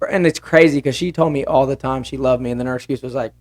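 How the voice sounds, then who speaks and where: A man's voice: fairly even intonation, fast. A man in his twenties chatting openly on a podcast, often laughing and quoting others. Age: 20s. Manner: candid, laughs often, quotes others in lowered voice. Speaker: podcast guest. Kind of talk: casual chat.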